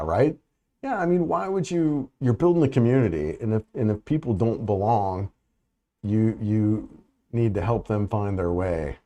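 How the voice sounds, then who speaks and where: A man in middle age talks loosely on a live stream. He restarts sentences often, fills gaps with "you know" and "right," and keeps an unhurried, easygoing tone. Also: on the low side, mellow. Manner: rambling, many restarts and fillers, easygoing. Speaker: vlogger hosting a live chat. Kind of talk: casual live-stream chat reading comments.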